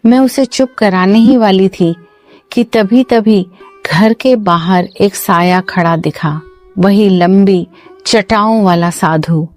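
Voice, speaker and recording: A woman playing a frightened, caring mother in a cartoon story, recounting things dramatically and haltingly. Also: mid-range voice, darkish tone, faint music behind, lightly acted. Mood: fearful. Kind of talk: Hindi cartoon moral-story dialogue.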